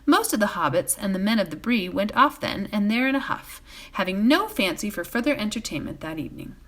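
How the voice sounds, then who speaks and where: Woman reading a fantasy book out loud for bedtime, animated, medium pitch, storyteller style. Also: a little fast. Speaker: volunteer story reader. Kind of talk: expressive bedtime storybook narration.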